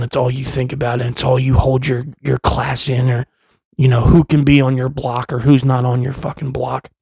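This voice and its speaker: A man in his thirties talking in a casual, rambling monologue, profane and impassioned, with many restarts and a loud voice. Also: on the high side, gravelly, breathy edge, no echo. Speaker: vlogger, father.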